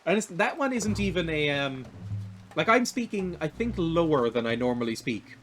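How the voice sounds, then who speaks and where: A young man with a high, light voice talks casually as he plays through a video game, drifting from topic to topic and often laughing at himself. His pitch sits above the usual for men. Faint music plays underneath him. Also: big pitch swings, normal speed, a bit bright. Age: 20s. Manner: rambling and self-deprecating, laughs often. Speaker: streamer. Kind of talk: casual chat while playing a video game.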